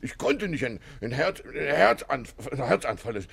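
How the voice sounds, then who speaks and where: A man's voice: medium pitch, sing-song, moderate pace, creaky, fairly bright tone, breathy edge, heavily acted. An actor playing a timid, whining old man who stammers and repeats himself in a 1950s German radio play. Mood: agitated, plaintive. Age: older. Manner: stammering, repeating words, plaintive. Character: flustered, whining harmless old grandpa, timid and anxious.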